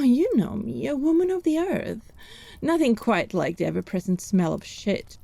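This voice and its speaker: A woman's voice: medium pitch, vocal fry, mellow. A woman playing a haughty, teasing country healer in a fantasy audio drama, arch and inquisitive.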